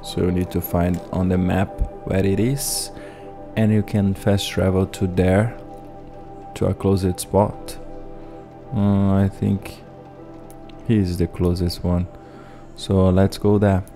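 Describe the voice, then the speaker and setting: A man with a very low voice, commenting casually on a video game in halting, chatty English. He restarts his sentences now and then, and loud game music plays beneath his voice-over. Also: little pitch movement. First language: Portuguese. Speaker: gameplay host.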